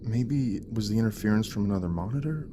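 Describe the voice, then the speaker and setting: A man's voice: fairly low pitch, lively intonation, fast talker, noisy background, lightly acted. An actor playing an exhausted young father in an audio drama, placating and puzzled, in an understated conversational way. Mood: defensive, puzzled. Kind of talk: naturalistic audio drama acting.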